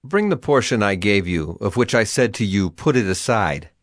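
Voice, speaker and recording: An actor playing an authoritative male prophet in a dramatized audio Bible, measured and declarative. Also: fairly low pitch, animated, no echo, clean studio sound, natural voice. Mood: grave, assured.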